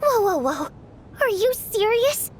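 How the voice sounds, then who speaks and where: An actress voicing an excitable, gossipy young woman who talks in a chatty way. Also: extremely high pitch, slow, slight background noise, heavily acted. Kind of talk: video game voice acting.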